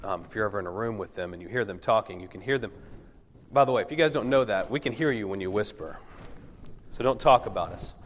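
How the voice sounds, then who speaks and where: A man preaching a chatty, joking sermon to his congregation while sick with a cold, his voice husky and lowered. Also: fast talker, slight background noise. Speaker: pastor. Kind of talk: conversational sermon. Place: church sanctuary. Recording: long hall reverb.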